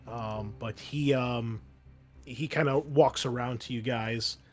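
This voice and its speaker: A man narrating a tabletop roleplaying game as game master in a casual, conversational, halting way. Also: medium pitch, fairly even intonation, faint music behind.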